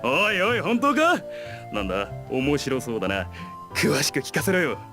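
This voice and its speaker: A man's voice: a little high, wildly swooping pitch, fast. A man playing a teasing, easygoing big-brother figure in a Japanese visual novel, with music behind. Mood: teasing, curious.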